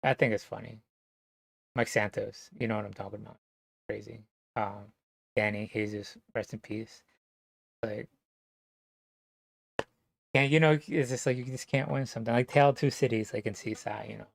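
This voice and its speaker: A man from New Jersey talking to camera in a rambling, casual monologue, halting and full of fillers, swearing now and then. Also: fairly low pitch, steady tone, gravelly. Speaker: vlogger.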